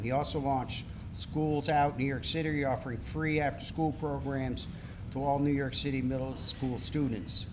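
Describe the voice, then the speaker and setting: Presenter, man, measured prepared remarks, mid pitch, clear background noise in the hall. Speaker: board member presenting an award. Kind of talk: prepared introduction speech. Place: gala banquet hall.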